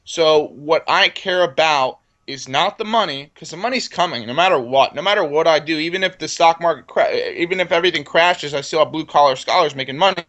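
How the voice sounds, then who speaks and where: A man's voice: fairly high pitch, fairly quick, hardly any pauses, a bit bright, lo-fi sound. A man in his twenties, a business guest in an interview, talking confidently and often repeating words as he starts a sentence. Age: 20s. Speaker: entrepreneur guest. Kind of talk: casual interview talk.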